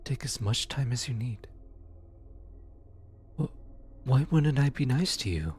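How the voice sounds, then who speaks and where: A man playing a gentle, apologetic demon who comforts lost souls in an ASMR roleplay, speaking softly and hesitantly. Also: medium pitch, expressive, unhurried, breathy edge. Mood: comforting, indignant. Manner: soft, soothing, hesitant with stammers. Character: gentle, apologetic male demon soul collector comforting the newly dead. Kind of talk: ASMR roleplay audio drama.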